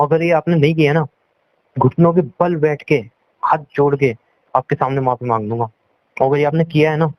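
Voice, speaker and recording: A dull, lo-fi phone recording of a young man in a heated argument. His voice sits fairly high, and he scolds and accuses, circling back over the same points. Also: no room sound. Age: young adult. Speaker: boyfriend on a phone call. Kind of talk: heated relationship argument in casual Hindi-English talk. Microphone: phone call.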